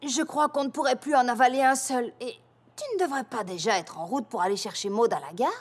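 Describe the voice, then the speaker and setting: High-voiced, down-to-earth cartoon pony voiced by a woman. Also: a little fast, lightly acted. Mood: reluctant, polite. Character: friendly, down-to-earth young female cartoon pony. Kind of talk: animated children's cartoon dub.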